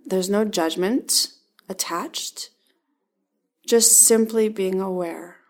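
Woman, medium pitch, slow delivery, calm meditation guide, gentle instruction, short spaced phrases. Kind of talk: guided body-scan meditation.

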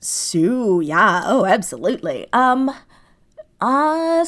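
Female interview guest, medium pitch, casual and gushing delivery with emphatic repetition. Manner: effusive, emphatic, repeating words for emphasis. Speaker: interview guest, audio drama writer. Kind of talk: enthusiastic casual interview chat.

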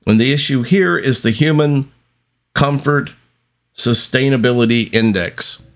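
A man delivers an unscripted solo podcast monologue at a very slow pace. His pitch sits in an ordinary middle range for a man. He speaks deliberately, breaking sentences into short pieces with long pauses. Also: fairly bright tone. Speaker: podcast host.

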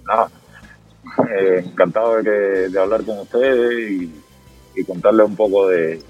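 A Cuban man in his thirties, a podcast guest, telling his story in a relaxed, rambling way with many fillers. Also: lowish voice, a little slow, lo-fi sound, soft background music. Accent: Cuban Spanish. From Cuba. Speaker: podcast guest, former athlete. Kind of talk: casual interview conversation.

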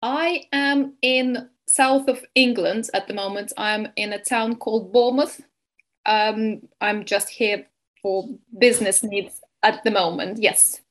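A woman from Estonia, a young nutrition coach, speaks slowly over a video call. She hesitates often, filling gaps with ums and starting sentences over. Her pitch sits in the middle of the range. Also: fairly even intonation. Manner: hesitant, many fillers and restarts. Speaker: keto coach and nutrition advisor. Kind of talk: webinar interview.

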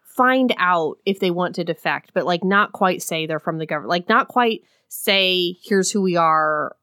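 A woman's voice: lowish voice, a little slow, bright, forceful tone, dry recording. Woman co-hosting a book podcast, laughs a lot, dry close sound. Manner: chatty, laughs often, critical. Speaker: podcast co-host. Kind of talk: casual book discussion.

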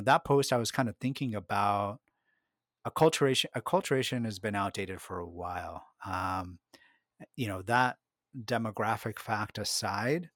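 A man's voice: lowish voice, fairly bright tone, no echo, studio quality. A middle-aged man, a market researcher and podcast guest, giving a thoughtful conversational answer full of fillers and restarts. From United States.

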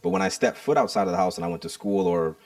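A man's voice: deep voice, expressive, fast talker, a little mellow, dry recording, recorded from a distance. A man guesting on a podcast, talking reflectively and explaining himself with metaphors, often checking in with "right?". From United States. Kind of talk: casual interview conversation.

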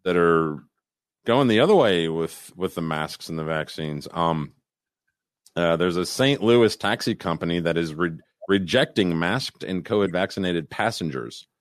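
A man co-hosts in an easygoing, rambling way, often restarting his sentences. His voice sits very low, and the sound is dry and close with no room echo. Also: lively intonation, a little slow. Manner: rambling, many restarts and fillers. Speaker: podcast co-host. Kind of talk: casual chat.

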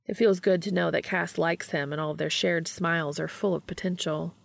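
A woman's voice: lowish voice, fairly even intonation, fairly quick, vocal fry, dry recording. A woman narrating a fiction audiobook from a script.

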